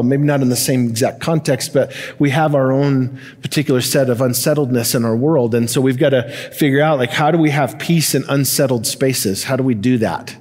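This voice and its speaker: A middle-aged American man speaks from a church stage into a headset mic, his voice trailing long reverb through the auditorium. He sits at an ordinary male pitch and preaches in an informal, conversational way, starting and stopping as he goes. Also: a little mellow. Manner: informal, joking asides, stop-and-start phrasing. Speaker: pastor. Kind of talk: conversational sermon and announcements to a congregation. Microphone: headset microphone.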